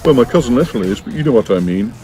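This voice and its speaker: A man's voice: mid-range voice, lively intonation, quick pace, warm tone, soft background music, natural voice. A man voicing a tiny, proud male wren that chats boastfully in a storybook.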